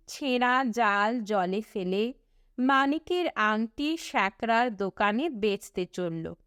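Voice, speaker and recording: A woman narrating a storybook as an audiobook reader, in a measured, expressive storytelling manner with deliberate breaks between phrases. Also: fairly high pitch, smooth, no room sound, studio quality.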